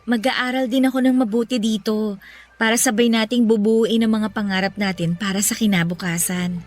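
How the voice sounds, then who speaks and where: A woman playing a caring, level-headed young girlfriend in a Filipino radio romance, gentle and reassuring. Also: mid-range voice, steady tone, normal speed, no echo, faint music behind, lightly acted. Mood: gently teasing, sensible. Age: young adult. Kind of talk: Tagalog radio romance drama acting.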